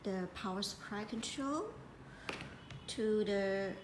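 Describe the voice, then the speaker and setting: Woman doing a step by step install demo, stop and start phrasing, roomy echo, clear noise behind her. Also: mid-range voice. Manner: halting, piecemeal phrasing with repetition. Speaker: product support presenter. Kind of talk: hands-on product installation tutorial. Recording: echoey room with clear background noise.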